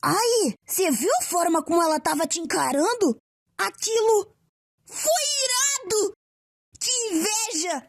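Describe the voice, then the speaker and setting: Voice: very high voice, expressive, unhurried, no echo, exaggerated voice. A performer voicing an eager, hero-worshipping young monster kid in a game story, gushing with enthusiasm. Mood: thrilled. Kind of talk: dramatic character voicing for a story.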